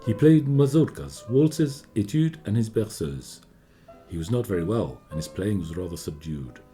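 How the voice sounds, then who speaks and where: A man playing a confiding, measured storyteller narrating history in a scripted radio play. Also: fairly low pitch, lively intonation, mellow, natural voice. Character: discreetly gossiping male narrator recounting a composer's history. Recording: studio radio play with music behind. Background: music.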